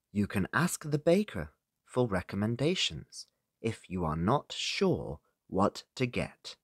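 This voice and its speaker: A man's voice: lowish voice, breathy edge, no room sound, clean studio sound. A British-accented man narrating a scripted beginner listening lesson as a teacher, in simple, separated phrases for learners. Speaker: English teacher.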